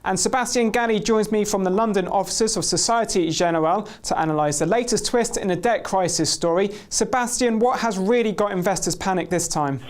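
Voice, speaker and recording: A man's voice: high-pitched, fairly quick, hardly any pauses. A man presenting financial news in a scripted broadcast style and putting questions to a guest.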